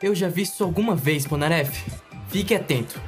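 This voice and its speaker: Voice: medium pitch, brisk, close to an everyday voice. A performer voicing an anxious, serious teenage boy in an anime parody dub, exasperated and dramatic. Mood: urgent scolding. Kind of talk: comic anime parody dubbing. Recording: music under the voice.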